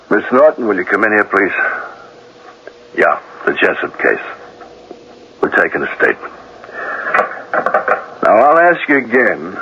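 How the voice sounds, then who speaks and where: Low-voiced, methodical police sergeant. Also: male voice. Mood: polite, official. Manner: official, methodical, polite. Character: courteous, probing male police sergeant. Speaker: actor. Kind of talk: 1970s radio drama acting. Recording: muffled old radio recording, faint noise.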